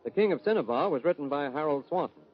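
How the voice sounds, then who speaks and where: Animated male old-time radio announcer. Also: fairly high pitch, fast, slightly rough, muffled recording. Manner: polished, theatrical sign-off. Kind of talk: 1950s radio announcing, scripted.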